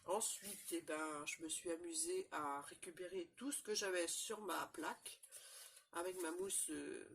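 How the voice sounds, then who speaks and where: A woman with a cold, chatting casually through a crafting demonstration, rambling and filling pauses often. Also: lowish voice, a bit bright, slight background noise. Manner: chatty, rambling, constant fillers and "voilà". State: has a cold, stuffy. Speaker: crafting channel host. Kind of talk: casual show-and-tell crafting demo.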